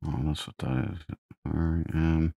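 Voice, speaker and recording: A man records casual gaming commentary in a deep voice. He talks slowly, muttering and thinking aloud. The sound is close and dry, with almost no room. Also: level tone, gravelly, dark tone, no room sound. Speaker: gamer. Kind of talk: casual let's play commentary.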